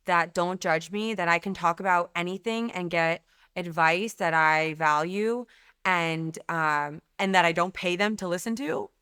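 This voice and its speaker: A woman's voice: fairly low pitch, measured pace, pushed and bright, smooth, no echo, clean studio sound. A woman guest speaking frankly and emphatically in a candid podcast conversation, swearing casually. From Lebanese background. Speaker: guest, company CEO.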